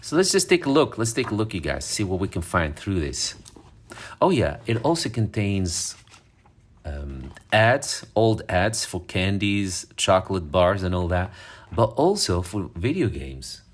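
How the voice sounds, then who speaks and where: A man's voice: sits low, sing-song, unhurried. A man from Morocco, talking casually and fondly as he leafs through an old magazine, rambling with fillers and restarts. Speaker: vlogger. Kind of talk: casual show-and-tell vlog.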